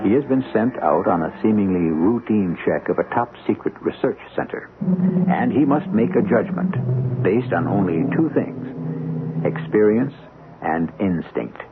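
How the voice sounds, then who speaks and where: A man hosting a radio mystery series, giving scripted dramatic narration in a measured, wry, portentous manner. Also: fairly low pitch, lively intonation, background noise. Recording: tinny old radio broadcast. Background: loud dramatic music.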